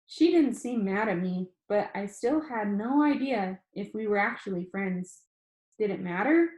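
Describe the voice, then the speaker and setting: A woman reading a novel aloud as expressive storybook narration, telling it in the first person. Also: mid-range voice, unhurried. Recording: roomy, echoing room sound.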